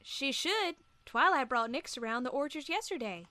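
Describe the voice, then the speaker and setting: A woman's voice: high voice, expressive, normal speed, pushed and bright, no room sound. A woman voicing a folksy, plain-spoken young female farm pony with a Southern US accent in an audio story. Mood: easygoing, warm. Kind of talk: acted audio story dialogue.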